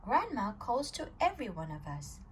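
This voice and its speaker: A woman reading a children's picture book aloud at storytime, telling it with an expressive, swinging voice. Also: a little high, moderate pace, a little hiss or hum. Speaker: storytime reader.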